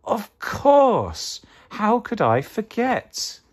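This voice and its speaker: A man's voice: a little high, huge pitch swings, unhurried, pitched above the performer's own voice. A man voicing a plucky, earnest potato hero in a children's storybook. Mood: realising.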